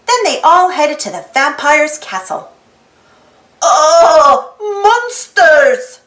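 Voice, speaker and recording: A woman reading a children's storybook aloud in an expressive, theatrical style as the host. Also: sits high, moderate pace. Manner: expressive, theatrical, exaggerated delivery. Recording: roomy echo with music behind. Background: music.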